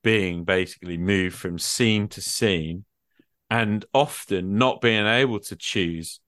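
Man, deep low pitch, informal discussion, thinking out loud, dry close sound. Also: slow, no room sound. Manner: informal, thinking aloud, peppered with "you know" and "like". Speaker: tabletop role-playing gamer in discussion. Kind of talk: casual conversational discussion.

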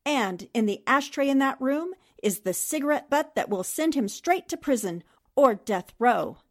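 A woman playing a high-voiced, shrewd newspaperwoman sleuth. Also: expressive, moderate pace. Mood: confident, methodical. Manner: precise, methodical explaining. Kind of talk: 1940s radio mystery acting.

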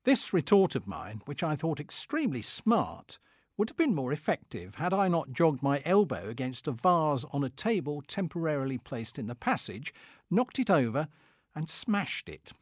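A pompous middle-aged clerk of the Victorian era, acted out by a man, reading his diary aloud with fussy indignation. His voice swoops expressively and sits a little high for a man, in a very dry, close recording. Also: big pitch swings, normal speed, no room sound. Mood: aggrieved, self-satisfied. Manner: pompous, indignant, self-satisfied. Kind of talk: dramatic comic reading of a diary.

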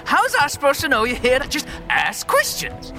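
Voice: high voice, wildly swooping pitch, moderate pace, pushed and bright, soft background music, heavily acted. Suspicious, wildly swooping rat catcher. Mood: hostile, taunting. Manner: slangy, taunting, clipped questions. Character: wiry, suspicious fur-covered rat catcher man, threatening and sly. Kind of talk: fantasy audio drama acting.

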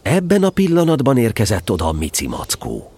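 A man's voice: mid-range voice, huge pitch swings, mellow, slightly rough. A man narrating a children's story as the scripted narrator of an audio play.

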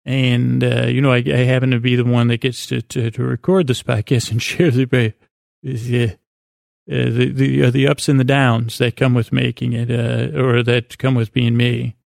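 A man with a tired, gravelly creak hosts a bedtime podcast. He meanders gently in an even, subdued line, hesitating and doubling back with self-deprecating asides. The sound is close and dry. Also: mid-range voice, unhurried, no echo. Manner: gentle, soothing, aimed at putting listeners to sleep. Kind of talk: rambling bedtime monologue.

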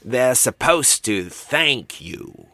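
A man's voice: medium pitch, big pitch swings, drawn-out words, sharp tone, no echo, voice pushed up, heavily acted. A man voicing an exasperated uncle sidekick in a storybook reading, emphatic and hissing as he prompts. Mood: exasperated, prompting. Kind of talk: storybook read-aloud character voice.

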